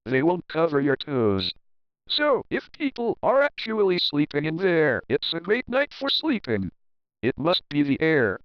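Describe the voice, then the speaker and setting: A man's voice: fairly high pitch, huge pitch swings, unhurried, dull audio. A man narrating a children's picture book as a readalong, reading rhyming verse expressively and rhythmically. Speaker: storybook narrator.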